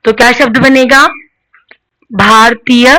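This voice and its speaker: Lady teacher, slow and patient, bit high voice, asks then answers herself. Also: steady tone, pushed and bright, rough recording. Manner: mixes English words into Hindi. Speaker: Sanskrit teacher. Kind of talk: classroom-style lesson.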